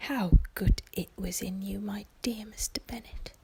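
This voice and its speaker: A woman voicing a fretful middle-aged wife and mother in a breathy, whispered ASMR storybook reading, querulous and dramatic. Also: mid-range voice, steady tone, measured pace, warm tone, exaggerated voice. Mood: delighted.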